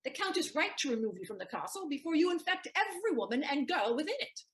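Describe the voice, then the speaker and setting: A woman playing a quick-witted, teasing young lady's maid in a theatrical period comedy, arch and wary with a sharp tongue. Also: high voice, very animated. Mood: teasing, scolding.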